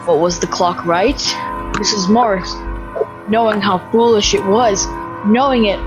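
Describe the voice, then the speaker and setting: A woman narrating a scripted drama in a deliberate, suspenseful storytelling style. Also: mid-range voice, fairly even intonation, lo-fi sound, music in the background.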